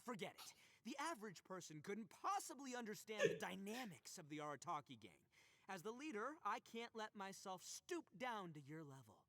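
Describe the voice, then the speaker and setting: A boastful, goofy young gang boss in an anime game. His bright, forceful voice swings widely as he brags and blusters theatrically, recorded very dry. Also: high-pitched, big pitch swings, brisk, dry recording, full character voice. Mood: blustering. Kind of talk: anime video game voice acting.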